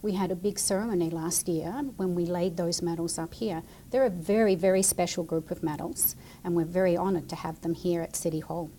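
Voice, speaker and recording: A woman's voice: fairly low pitch, fairly even intonation, brisk, hardly any pauses, mellow, a little hiss or hum. A woman explaining her work to children as a guest, in plain, chatty language. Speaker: city military liaison and veteran, guest speaker.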